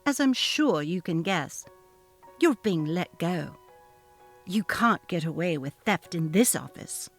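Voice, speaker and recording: A woman's voice: fairly low pitch, animated, takes it slowly, soft background music, heavily acted. A woman voicing a haughty, narcissistic female office manager who talks down to her staff, in a dramatically read story. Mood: cold, accusing. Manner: haughty, cutting, demanding. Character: snobby, imperious, narcissistic female office manager, cold and bullying. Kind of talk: dramatic reading of a text-message story.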